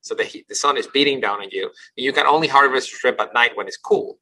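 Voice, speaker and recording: A man's voice: mid-range voice, fast, sharp tone, poor audio. A man from Ecuador, a company CEO, telling his life story as a podcast guest, thoughtful, often restarting and repeating words. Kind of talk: casual interview storytelling.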